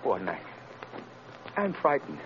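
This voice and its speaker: A man's voice: on the low side, wildly swooping pitch, noisy background. An actor playing a terrified condemned man, pleading brokenly in an old-time radio drama on a muffled vintage recording. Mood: frightened, dazed. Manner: pleading, broken, halting delivery.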